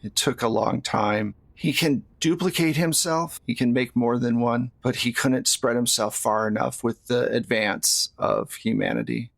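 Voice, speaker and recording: A solemn young man from a fantasy tabletop game, played by a man. He speaks gravely and with measured care, as if laying out an old family tale. The voice has a creaky edge and sits close and dry. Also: medium pitch, a bit bright, dry recording. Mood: somber, explaining.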